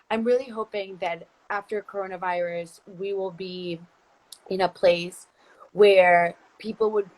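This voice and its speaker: A woman's voice: medium pitch, rough recording. A woman from Costa Rica interviewing a guest in a casual livestream chat, talking in long rambling turns with frequent restarts. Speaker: interviewer, company founder. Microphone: phone, video call.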